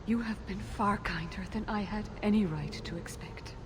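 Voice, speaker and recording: A woman's voice: medium pitch, moderate pace, very noisy, lightly acted. An actress playing a grateful, deferential mother in a fantasy video game. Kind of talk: video game dialogue acting.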